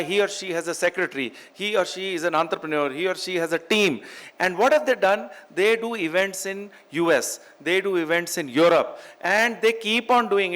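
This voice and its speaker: A man's voice: high voice, a bit bright. A middle-aged man with a North Indian accent giving an emphatic motivational business keynote, repeating phrases for effect. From Chandigarh, India. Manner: emphatic, repeats phrases in parallel for effect, switches between English and Hindi. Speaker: keynote speaker, real estate executive.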